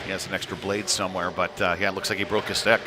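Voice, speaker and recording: A man's voice: sits low, little pitch movement, racing. Man calling hockey over rink noise. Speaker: hockey play-by-play commentator. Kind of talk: live sports commentary. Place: indoor ice rink during a game. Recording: noisy rink sound behind the voice. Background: clear venue noise.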